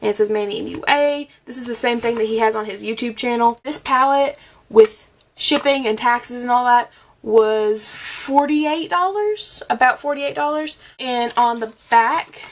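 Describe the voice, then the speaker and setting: A woman's voice: fairly high pitch, normal speed. A young woman vlogger with a slight cold, chatting and gushing through a casual makeup review, with plenty of ums and likes. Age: young adult. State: has a cold, a bit scratchy. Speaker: beauty vlogger.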